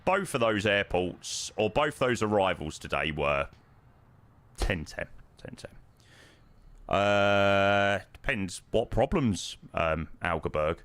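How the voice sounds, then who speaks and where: Hoarse man chatting on stream. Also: on the low side, animated, takes it slowly, a bit bright. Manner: rambling, self-interrupting, frequent ums. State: hoarse, croaky voice. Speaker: flight sim streamer. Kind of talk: casual stream chat.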